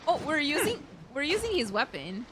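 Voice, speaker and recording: A woman's voice: high-pitched, big pitch swings, moderate pace, a bit bright, a little hiss or hum. A woman streamer giving casual, chatty, reactive commentary while playing a game. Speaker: gaming streamer. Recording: game music clearly behind the voice.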